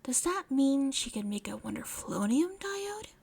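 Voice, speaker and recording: A woman's voice: high-pitched, big pitch swings, measured pace, creaky, darkish tone, pitched above the performer's own voice, exaggerated voice. A woman voicing an eager, blunt, socially awkward young inventor girl in a story reading. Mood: eager, scheming.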